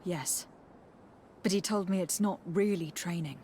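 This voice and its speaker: An actress playing a composed, diplomatic noblewoman investigator, speaking calmly and with measured words in video game dialogue. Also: on the low side, slight background noise, natural voice. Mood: grave. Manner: calm, measured, probing.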